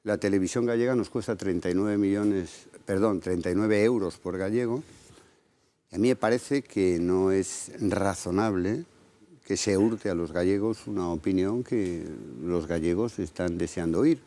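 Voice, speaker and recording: Man from Galicia, low and mellow, TV interviewee, measured and deliberate. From Galicia, Spain. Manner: measured, often ending with "¿no?". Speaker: interviewed candidate. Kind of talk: TV interview answers.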